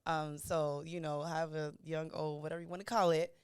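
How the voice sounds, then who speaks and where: Guest, woman around 30, from Miami; lively storyteller, laughs a lot; low-ish, smooth, dry close sound. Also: moderate pace, dry recording. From Miami, USA. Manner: chatty and animated, laughs often, quotes her own thoughts. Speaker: podcast guest. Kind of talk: casual interview chat.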